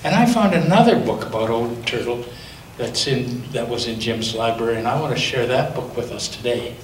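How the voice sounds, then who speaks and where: A male pastor reads a children's story aloud with a lively, lilting voice that rises and falls. He sits a little back from the microphone, so his voice sounds distant. The church around him adds a strong echo. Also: medium pitch. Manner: gentle, lively storytelling with slow dramatic pauses. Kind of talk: reading a picture book aloud to children. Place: church sanctuary. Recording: echoey church from a distance, faint noise.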